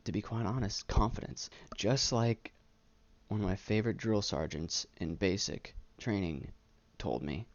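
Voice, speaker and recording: A young adult man talking candidly about himself as a vlogger, halting and stuttering on words, earnest and self-deprecating. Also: on the low side, dry recording, lo-fi sound. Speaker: YouTube vlogger. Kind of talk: candid personal monologue, casual chat. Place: small room indoors.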